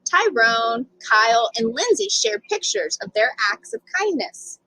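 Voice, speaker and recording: A woman reading a children's story aloud as an expressive storytime narrator. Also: a little high, unhurried, slightly rough, rough recording. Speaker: storytime reader.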